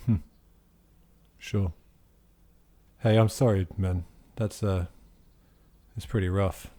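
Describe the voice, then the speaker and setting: Man playing a low-voiced, matey, blunt bloke. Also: fairly even intonation, takes it slowly, warm tone. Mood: awkward sympathy. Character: gruff, casual, awkwardly friendly bloke who hunts monsters.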